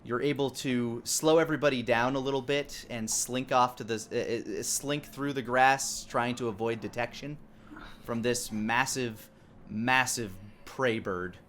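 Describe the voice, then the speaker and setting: A man's voice: medium pitch, fairly even intonation, unhurried, fairly bright tone. A man narrating a tabletop game as game master, improvising and searching for words as he describes things. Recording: clearly noisy background.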